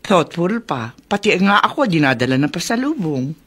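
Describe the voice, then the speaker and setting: An actress playing a caring middle-aged mother in a radio drama, chatty and expressive. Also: on the low side, moderate pace, husky, exaggerated voice. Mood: warm advice.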